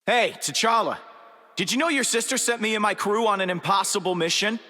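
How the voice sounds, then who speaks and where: An actor voicing a rattled, wisecracking space adventurer in a video game, casual and exasperated. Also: male voice, on the high side, very animated, measured pace, creaky, a bit bright, breathy edge, full character voice. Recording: long echoey hall reverb.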